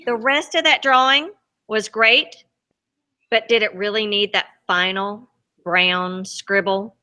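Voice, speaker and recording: A woman's voice: mid-range voice, fairly even intonation, slow pace, pushed and bright. A woman voicing a resentful runaway brown crayon from a storybook, hesitant and sarcastic. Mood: sardonic, hurt. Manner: hesitant, sardonic, pointed.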